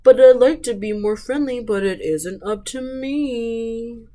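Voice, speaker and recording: A woman voicing a gloomy, pouty male storybook fish who speaks in a resigned, drawn-out singsong. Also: on the high side, mellow. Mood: glum.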